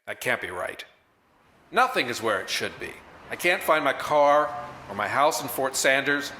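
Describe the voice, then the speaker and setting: A man's voice: medium pitch, animated, moderate pace, bright, forceful tone, slight background noise. A man playing a wisecracking, old-fashioned newspaper reporter in a radio drama, narrating with hard-boiled, slangy wit. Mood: wry. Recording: roomy echo, faint music behind.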